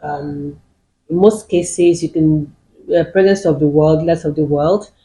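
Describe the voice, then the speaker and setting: A woman's voice: deep voice, big pitch swings, measured pace, gravelly, warm tone. Firm female panel guest. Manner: firm, explanatory. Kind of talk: panel discussion. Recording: echoey room.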